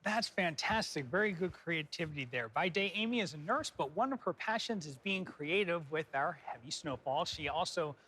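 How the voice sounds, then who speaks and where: A man's voice: on the high side, expressive, moderate pace, few pauses, sharp tone, breathy edge, rough recording. A man presenting weather and chatting on a local TV news show. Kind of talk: local news forecast and chat. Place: TV studio.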